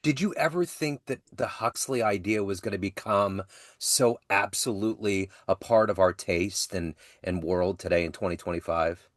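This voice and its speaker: A man hosts the interview with a low-pitched voice, recorded dry and close. He asks long, winding questions and nods along with quick affirmations. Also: no echo. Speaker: podcast host, interviewer.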